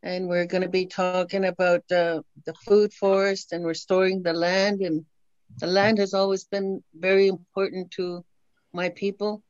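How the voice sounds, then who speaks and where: An older woman whose first language is Dakota, an elder opening a gathering with a slow, gentle blessing and teaching, soft-spoken with many pauses, speaking from home on a webcam. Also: fairly low pitch, little pitch movement, no echo. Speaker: elder opening a meeting with prayer. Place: home room, indoors. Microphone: laptop webcam on video call. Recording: dull, dry video-call audio.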